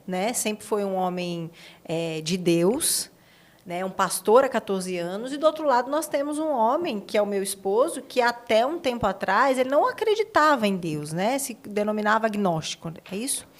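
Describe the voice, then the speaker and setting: A woman's voice: mid-range voice, animated, moderate pace. A woman with a Brazilian accent hosting a conversational interview, posing reflective questions with emphasis. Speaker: podcast host, interviewer.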